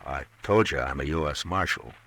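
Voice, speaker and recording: An actor playing a steady, firm frontier marshal in an old radio drama, speaking in terse, measured lines. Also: male voice, very deep voice, heavily acted. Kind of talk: 1950s radio drama acting.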